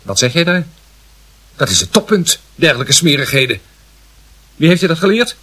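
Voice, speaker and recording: Radio play, strict hot-tempered father: indignant, scolding, animated, forceful delivery. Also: on the high side, normal speed, husky, heavily acted. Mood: outraged, scolding. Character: angry strict father, indignant, domineering, quick-tempered adult man.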